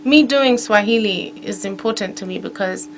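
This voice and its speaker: A woman from Kenya, a singer-songwriter, talking casually in an interview, relaxed and laughing easily. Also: mid-range voice, a little hiss or hum, rough recording. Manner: relaxed, laughs often, drawn-out phrasing.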